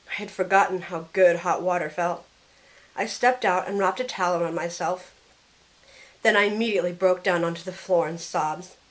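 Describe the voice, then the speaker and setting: A woman's voice: lowish voice, natural voice, rough recording. A woman playing a grieving, guilt-ridden young woman who tells her story in an earnest, emotional first-person audio drama.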